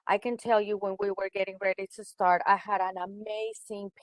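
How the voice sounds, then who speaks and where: A woman's voice: medium pitch, level tone, brisk, no echo. A woman who is a native Spanish speaker, presenting in a webinar as a school educator and stressing her key words.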